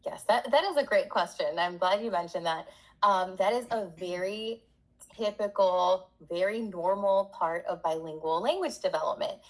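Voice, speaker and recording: A woman's voice: on the low side, poor audio. A bilingual Spanish and English speaking woman who grew up in Puerto Rico, answering conversationally as an interview guest, explaining things in a talkative, emphatic way. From born in the US, raised in Puerto Rico.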